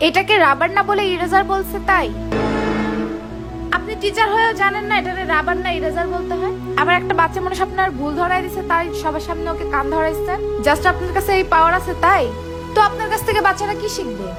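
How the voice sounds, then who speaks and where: A woman's voice: extremely high pitch, fast. A woman voicing a feisty, scolding young cartoon mother with a Bangladeshi accent and a bossy, argumentative way of talking. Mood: indignant. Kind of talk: comic cartoon dialogue. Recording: music behind the voice.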